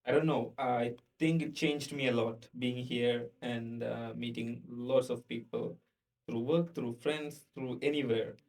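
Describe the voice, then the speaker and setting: A man's voice: mid-range voice, fairly even intonation, measured pace, a little mellow, far from the mic. A man from India hosting a podcast, talking casually and earnestly in a reflective way. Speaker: podcast host.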